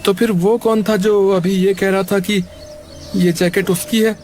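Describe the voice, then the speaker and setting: A man's voice: high-pitched, fairly even intonation, measured pace, darkish tone, soft background music. A man voicing a humble, polite young laborer in a cartoon. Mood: questioning. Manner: polite, pleading. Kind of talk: cartoon voice acting.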